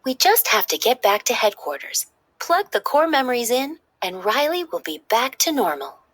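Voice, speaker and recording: A woman plays a can-do young female storybook heroine, high and lively. She is bossy in a cheerful way and gives eager, emphatic instructions. The sound is close and dry. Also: normal speed, no room sound. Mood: determined optimism.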